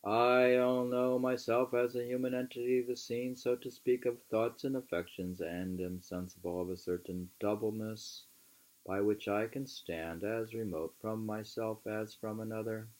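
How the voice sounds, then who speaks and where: A man reading literature aloud in an emphatic, deliberate, musing way, with informal asides. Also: lowish voice, steady tone, darkish tone, poor audio. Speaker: reader.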